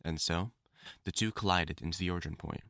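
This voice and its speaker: A man's voice: low voice, brisk, fairly bright tone, no room sound, studio quality. A man acting a lovesick, obsessive supervillain scientist with formal, theatrical diction in a dramatic audio roleplay monologue. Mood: solemn, explanatory. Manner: formal, theatrical diction, tender endearments breaking into anguished outbursts.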